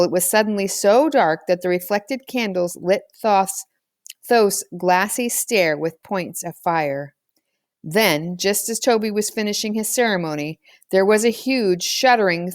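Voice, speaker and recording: A woman narrating a children's novel aloud as an expressive storyteller. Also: medium pitch, dry recording, studio quality. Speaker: audiobook reader.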